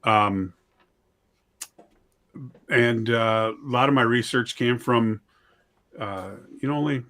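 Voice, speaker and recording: A man's voice: mid-range voice, slow pace, fairly bright tone. A man chatting casually as an interview guest, informal and self-deprecating. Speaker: podcast guest, author and audio-play writer.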